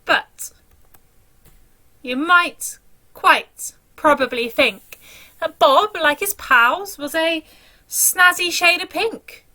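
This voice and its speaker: A woman's voice: very high voice, drawn-out words, long pauses, sharp tone. A woman reading a children's story aloud in a dramatic, theatrical storytelling manner.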